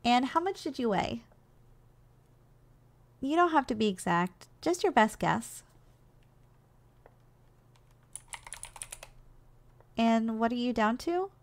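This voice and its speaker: A woman playing a doting, gentle giantess secretary in an ASMR roleplay, speaking in a caring, softly teasing, slightly hesitant way. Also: on the high side, lively intonation, measured pace. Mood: curious, playful.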